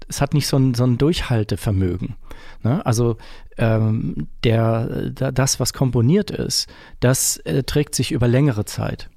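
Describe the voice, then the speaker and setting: A man's voice: mid-range voice, mellow, no room sound, studio quality. A middle-aged man talking reflectively as an interview guest, explaining his opinions and often searching for the right word. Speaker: interview guest, musician and composer.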